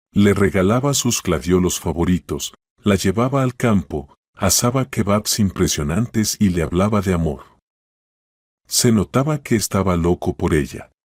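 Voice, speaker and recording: Man, low pitch, scripted story narration, dry studio-like sound. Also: a little mellow, no echo.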